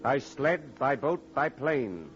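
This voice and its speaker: A man narrates a vintage radio drama. His delivery is formal and dramatic, like a 1940s announcer, in a middling pitch. The recording is muffled, with music clearly behind him. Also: sing-song, takes it slowly.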